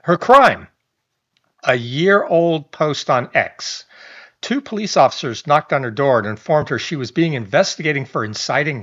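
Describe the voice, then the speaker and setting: A man's voice: mid-range voice, a bit bright. A man, reading a news article aloud and adding casual commentary, with chatty asides, restarts and small chuckles. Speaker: online commentator. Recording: slightly dull lo-fi audio.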